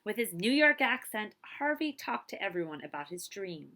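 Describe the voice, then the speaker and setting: A woman with noticeable vocal fry reads a picture book aloud in the role of a librarian. Her phrasing is expressive and emphatic, with deliberate pauses and casual asides to young listeners. Her pitch sits in an average range. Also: a little hiss or hum. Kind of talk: children's read-aloud storytelling with casual asides.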